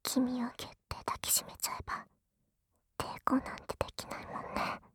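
A woman's voice: fairly quick, a bit bright, no echo, lightly acted. A voice actress playing a young tsundere girlfriend, bashful yet teasingly bold, in an intimate ASMR audio drama on a close binaural mic. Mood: teasing whisper. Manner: flustered, stammering, breathy asides dropping into whispers. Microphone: close binaural microphone, dry studio.

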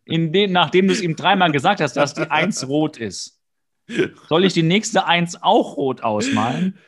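A man's voice: a little high, animated, moderate pace. A male podcast co-host casually recapping and bantering, with a sarcastic, mock-exasperated way of talking.

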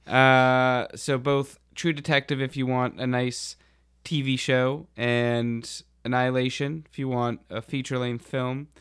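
Casting: male podcast panelist, mid-range pitch, fairly flat intonation, conversational delivery. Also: measured pace, clear, resonant tone, no room sound, studio quality. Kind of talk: casual podcast discussion.

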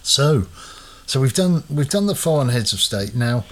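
A man's voice: mid-range voice, expressive, moderate pace. A man hosting a casual chat, quizzing his co-host with lots of hesitant fillers.

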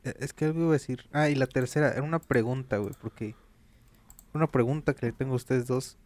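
A man's voice: medium pitch, quick pace, darkish tone. Man with a Mexican accent, easygoing joking co-host in casual chat. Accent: Mexican Spanish. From Querétaro, Mexico.

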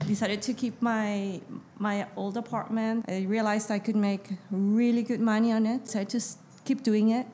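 A middle-aged woman, talking through her story on a podcast in an upbeat, matter-of-fact way. Her pitch sits in a middle range for a woman, and faint noise hangs behind her. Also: little pitch movement, normal speed, few pauses, mellow. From Peru. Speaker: guest, business co-founder. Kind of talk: casual podcast interview.

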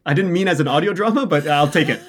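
Higher-voiced man chatting haltingly. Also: fairly bright tone. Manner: halting, repeats words, self-deprecating humour. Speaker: interview guest, audio drama writer. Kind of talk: casual conversational storytelling.

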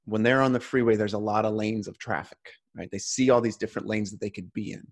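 Guy with lowish voice, earnest rambling chat, lots of restarts. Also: steady tone, fast, gravelly. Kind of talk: casual conversation.

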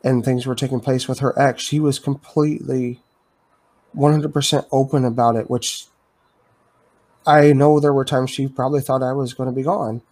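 A man co-hosting a casual chat, speaking calmly and supportively, with short prompts that sum up what his partner said. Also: mid-range voice, moderate pace. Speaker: podcast co-host.

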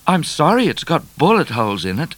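A boyish storybook bushranger, put on by a male narrator, plucky and earnest. The voice sits well above a deep man's talk and moves about with animated pitch. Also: brisk. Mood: cheeky, defiant. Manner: sing-out, earnest calling. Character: plucky, boastful, polite outlaw hero, boyish and earnest.

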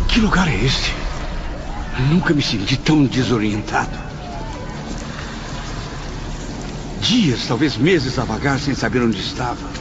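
A man's voice: medium pitch, natural voice. An actor playing a despairing, lost spirit of a man in a radio drama, with a theatrical, lamenting delivery. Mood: disoriented anguish. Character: anguished, despairing suicide's spirit, lost in a dark afterlife. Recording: dull lo-fi radio drama audio.

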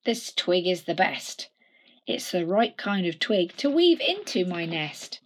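A woman's voice: lowish voice, very animated, measured pace, a little hiss or hum. A woman voicing a fussy swan gathering twigs for its nest in a storybook. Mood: pleased.

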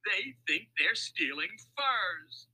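A man voicing a hearty truck driver in a storybook, with booming, gleeful, drawn-out exclamations and mocking laughter. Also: animated, sharp tone, husky, heavily acted, rough recording. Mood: amazed hilarity.

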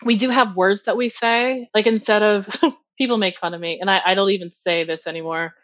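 A woman's voice: mid-range voice, fairly even intonation, a bit bright, dry recording. Midwestern american woman chatting on a podcast, bubbly, lots of questions, kinda dull lo-fi sound, dry. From Ohio, US Midwest. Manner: bubbly, questioning, quick laughs. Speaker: podcast co-host. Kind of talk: casual podcast chat. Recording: slightly dull lo-fi audio.

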